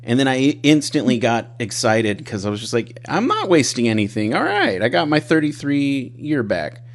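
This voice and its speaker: A man's voice: mid-range voice, big pitch swings, moderate pace. Man in his thirties, rambling and self-deprecating. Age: 30s. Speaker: podcast host. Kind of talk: casual interview chat.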